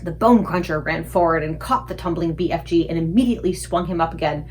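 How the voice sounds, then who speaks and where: A woman's voice: fairly low pitch, fast talker, a little mellow, a little hiss or hum. Woman narrating a children's story aloud. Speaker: read-aloud book reader.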